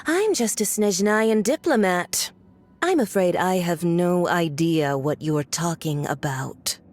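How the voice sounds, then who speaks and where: An actress playing a haughty, mocking villainess in a video game cutscene, with a condescending, theatrical delivery. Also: female voice, fairly low pitch, expressive, slow. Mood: feigned innocence. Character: haughty, mocking villainess, a scornful foreign diplomat and harbinger.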